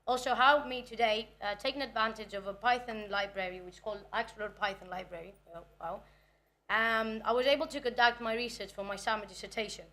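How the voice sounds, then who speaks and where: A woman's voice: on the high side, fairly bright tone. A young woman from Greece, a native Greek speaker, giving a nervous, chatty conference talk about research. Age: 20s. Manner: nervous, chatty, self-deprecating.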